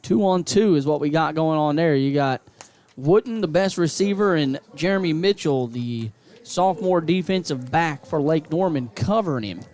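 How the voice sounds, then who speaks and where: A man calling high school football play-by-play on radio, building to excited calls on big plays. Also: moderate pace. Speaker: play-by-play announcer.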